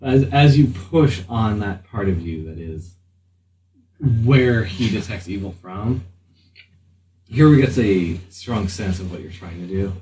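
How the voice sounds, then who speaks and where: A deep-voiced man narrates a tabletop game as game master. He talks slowly and haltingly, pausing mid-sentence. The recording is roomy, with faint noise. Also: expressive, dark tone, distant mic.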